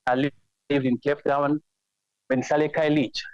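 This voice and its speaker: A Congolese-accented man calls in to a talk show, talking in halting bursts full of fillers and restarts. His line sounds dull and lo-fi, with a dry, close sound and no room around it. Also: on the high side, level tone, a little mellow, clean tone, dry recording. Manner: hesitant, many fillers and restarts. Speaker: caller. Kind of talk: phone-in call. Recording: dull lo-fi phone-in audio.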